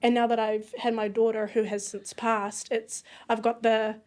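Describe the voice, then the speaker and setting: A woman's voice: fairly high pitch, flat delivery, moderate pace, a little mellow. A woman from Iraq, a podcast guest, talking reflectively and searching for words in a casual interview.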